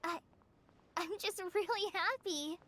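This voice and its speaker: A woman's voice: very high-pitched, slow, bright, forceful tone, slight background noise, heavily acted. A woman voicing a sweet, timid teenage girl in a video game, polite, earnest and hesitant. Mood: grateful, moved. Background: music.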